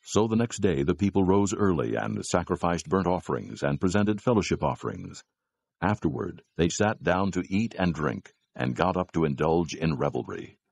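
Deep, gravelly male scripture narrator. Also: animated, normal speed, a little mellow.

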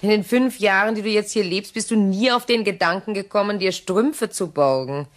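An actress playing a guarded, self-reliant widow in a crime radio play, composed and brushing worries aside. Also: female voice, medium pitch, moderate pace, close to an everyday voice. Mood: reproachful.

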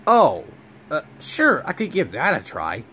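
A man's voice: on the high side, huge pitch swings, unhurried, sharp tone, slight background noise, full character voice. A man voicing a smart man in his forties, a bit flustered, who stumbles over numbers and dates, in a plush toy home video. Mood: unsure. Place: home room with couch.

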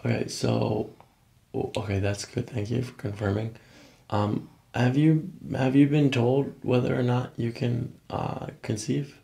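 A man works through casual intake questions as a chiropractor. His voice carries a lot of fry, and the small office rings around it. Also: mid-range voice, fairly even intonation, measured pace, mellow. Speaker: chiropractor interviewing patients. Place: small carpeted treatment room. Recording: roomy small-office sound.

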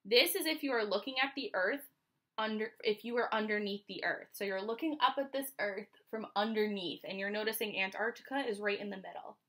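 A woman in her twenties with an American accent reads a children's picture book aloud like a teacher, pausing to explain and ask questions. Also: mid-range voice, fairly bright tone. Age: 20s. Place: small furnished room at home, indoors.

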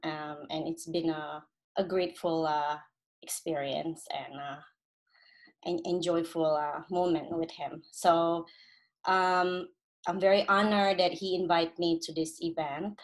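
Lower-pitched woman with Indonesian accent. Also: fairly even intonation, poor audio. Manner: hesitant, halting phrasing. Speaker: guest from a community group. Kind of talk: informal speech of thanks. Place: bedroom, indoors. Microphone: computer on a video call.

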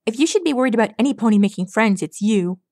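A woman's voice: a little high, animated, fast talker, dark tone, no echo, clean studio sound, close to an everyday voice. A woman voicing a grumpy, bookish young male earth pony who grumbles in a proud, well-spoken way. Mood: grumbling.

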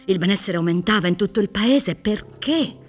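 A woman's voice: mid-range voice, lively intonation, moderate pace, close to an everyday voice. A middle-aged woman playing a theatrical, insinuating prosecutor in a radio drama, with a rhetorical, declamatory delivery. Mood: triumphant. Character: prosecutor in a mock trial, theatrical, gleefully insinuating retired official. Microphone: studio microphone on stage, headphones. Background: music.

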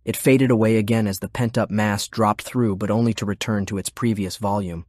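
A man's voice: fairly low pitch, fairly even intonation, brisk, a little mellow, dry recording, studio quality. A man reading scripted, dramatic narration as an audiobook narrator.

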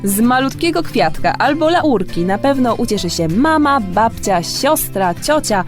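Expressive, slow-paced woman telling bedtime stories. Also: on the high side. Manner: expressive, drawn-out delivery for children. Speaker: radio host and storyteller. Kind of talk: children's bedtime radio show, presenting and storytelling. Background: music.

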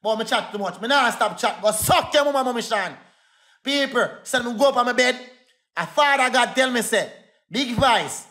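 High-voiced Jamaican man ranting angrily. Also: lively intonation, pushed and bright. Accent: Jamaican Patois. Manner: repetitive, insulting, fast heated rant. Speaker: livestreamer. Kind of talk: angry livestream rant.

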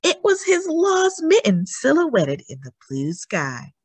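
A woman's voice: sits high, wildly swooping pitch, takes it slowly, no echo. A woman reading a picture book aloud to young children, expressively and with chatty asides to the listeners. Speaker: read-aloud storyteller for children.

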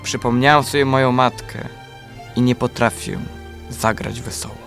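A man's voice: medium pitch, fairly even intonation, moderate pace, creaky, a bit bright, soft background music. A man playing a devoted, sorrowful young prince, earnest and solemn, in a children's radio play. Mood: sad.

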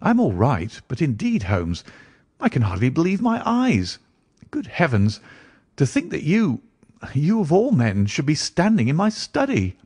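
A man voicing an astonished, loyal gentleman in an audiobook, earnest and exclamatory. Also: mid-range voice, big pitch swings, moderate pace, breathy edge, no room sound. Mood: overjoyed. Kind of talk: audiobook character dialogue.

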